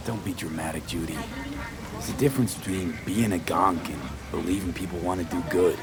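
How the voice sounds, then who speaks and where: An actor playing a hardened mercenary, calm, dry and guarded, in understated video game dialogue. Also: male voice, sits low, fairly quick. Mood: gently dry. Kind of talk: video game cutscene acting, naturalistic dialogue.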